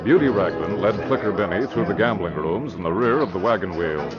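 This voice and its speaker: Low-voiced radio serial narrator. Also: male voice, animated, fairly quick. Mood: dramatic. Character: male crime-serial narrator. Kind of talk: dramatic scripted radio narration. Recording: muffled old radio recording, music behind.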